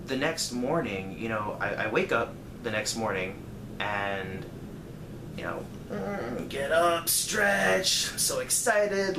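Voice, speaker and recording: A man telling a personal story casually, in a rambling, conversational way with dramatic emphasis. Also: mid-range voice. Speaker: storyteller vlogger. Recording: echoey room, clear background noise.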